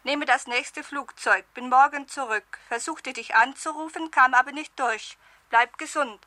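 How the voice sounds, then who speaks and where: An actress playing a businesslike telegraph operator in a radio thriller, reciting in an impersonal official manner. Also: female voice, high-pitched, unhurried, pushed and bright, no room sound. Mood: detached, official. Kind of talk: 1970s German radio thriller acting.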